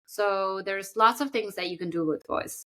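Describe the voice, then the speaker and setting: A young woman co-hosting a casual podcast chat, explaining at length with frequent ums and pauses. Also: mid-range voice, bright, forceful tone. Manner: thoughtful, explains at length with many fillers. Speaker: podcast co-host.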